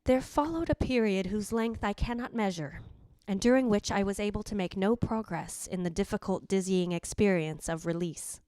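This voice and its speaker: A woman's voice: mid-range voice, normal speed, hardly any pauses, a little mellow, no echo, close to an everyday voice. A woman playing the jealous ghost of a dead wife, narrating in a theatrical, literary, anguished way in old-time radio style. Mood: vengeful, brooding.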